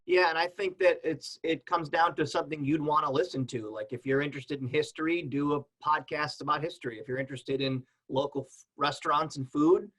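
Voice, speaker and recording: A man's voice: fairly high pitch, steady tone, brisk, fairly bright tone, low-quality recording. A man on a panel giving casual, encouraging advice in an informal, conversational way.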